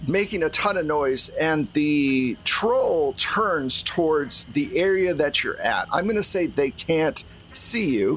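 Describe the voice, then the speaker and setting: Male game master, medium pitch, conversational tabletop narration, audible room noise. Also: a bit bright, rough recording.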